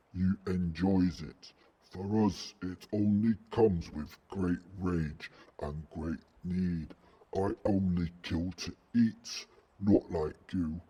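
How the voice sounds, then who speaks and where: A man voicing a gigantic, peaceable troll in an audio drama, husky and ponderous, with broken grammar and a wariness of violent humans. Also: deep voice, lo-fi sound, exaggerated voice. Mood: grave, reluctant. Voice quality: husky, dark voice.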